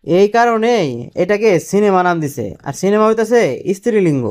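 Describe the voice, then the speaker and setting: A man voicing a cheeky, quick-witted cartoon job candidate who explains things deferentially in colloquial Dhaka-accented Bangladeshi Bengali. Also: high-pitched, animated, a little mellow, smooth. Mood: confidently explaining. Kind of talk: comic cartoon dialogue.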